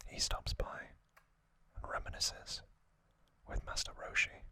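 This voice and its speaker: A man whispering an ASMR narration, telling a story gently in short, hushed phrases as the host. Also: takes it slowly.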